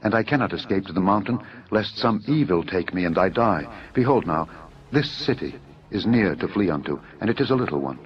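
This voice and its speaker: Man as low-voiced anxious householder, pleading. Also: lively intonation, a little fast. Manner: deferential, earnest, entreating. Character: anxious, hospitable, pleading male householder of ancient city. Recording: dull narrow audio over music.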